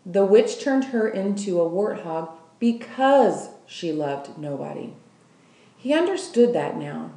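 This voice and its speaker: Audiobook-style female narrator for a children's novel, unhurried and deliberate, mid-pitched, roomy echo. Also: very animated, creaky, warm tone. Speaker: school staff member reading aloud. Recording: roomy, echoing room sound.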